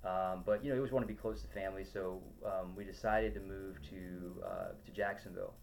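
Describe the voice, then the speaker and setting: A middle-aged man from Ohio talks through answers as a podcast guest, pausing often with ums and starting sentences over. His voice sits low and deep. A faint hum runs behind him. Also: fairly even intonation. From Ohio, USA. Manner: rambling, many ums and restarts. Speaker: podcast guest, loan officer. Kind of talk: casual interview answers.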